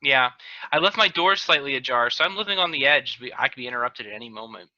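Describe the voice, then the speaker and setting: Male podcast host, sharp and forceful, playful casual banter, slightly muffled call audio. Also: medium pitch, expressive, fast. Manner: teasing, joking. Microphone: video call. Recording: dull lo-fi video call audio.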